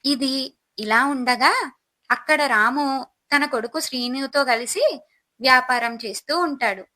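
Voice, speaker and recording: A woman's voice: sits high, a little slow, sharp tone. A woman narrating a scripted moral story as the storyteller.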